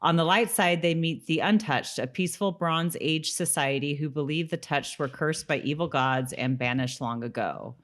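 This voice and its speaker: A woman's voice: deep voice, moderate pace, few pauses. A woman co-hosting a podcast, chatting casually in a talkative way that breaks easily into laughter. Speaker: podcast co-host. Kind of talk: casual chat recapping a TV episode, some scripted summary read aloud.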